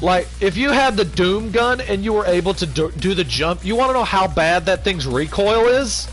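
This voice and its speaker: A high-voiced man talks eagerly about a game, building up each story with teasing questions. His tone is casual and keen. Faint music plays under him. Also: expressive, moderate pace, a bit bright. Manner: eager storyteller, keeps asking "you wanna know". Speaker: gamer chatting on a livestream. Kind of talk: casual chat.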